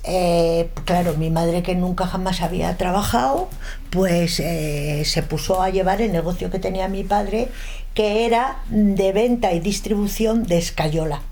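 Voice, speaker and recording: A woman's voice: lowish voice, a little slow, dark tone. A woman in her seventies with a Madrid Spanish accent, recalling her life emphatically in an interview. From Madrid, Spain. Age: 70s. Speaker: interview guest. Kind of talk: casual reminiscence in an interview. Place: indoors at home.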